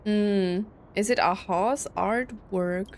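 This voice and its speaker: A woman's voice: mid-range voice, slow pace, a little mellow, a little hiss or hum. A woman streaming a video game with casual commentary, thinking aloud and reading on-screen text out. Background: video game music and dialogue.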